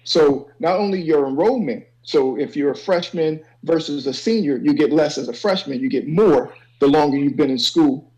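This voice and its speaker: A man's voice: fairly high pitch, moderate pace, hardly any pauses, darkish tone, lo-fi sound. A man speaking as a guest advisor, explaining things informally and instructively, often checking that listeners follow. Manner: instructive, checks understanding with "okay" and "you know". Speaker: college financial aid advisor, guest. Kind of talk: informal explanatory panel talk.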